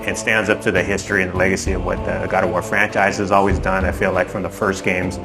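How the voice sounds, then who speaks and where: Male creative lead, documentary interview. Very deep, fast delivery over a music bed. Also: little pitch movement. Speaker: lead character concept artist.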